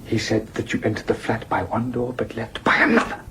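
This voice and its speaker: An actor playing a stern, possessive uncle and party leader in an audio drama, formal, deliberate and accusatory. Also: male voice, medium pitch, sing-song, pushed and bright, slight background noise. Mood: guarded, protective.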